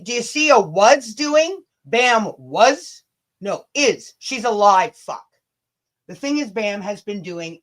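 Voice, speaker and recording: A livestream host chatting casually and reading messages aloud, blunt and sweary, stumbling and rereading as they go. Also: mid-range voice.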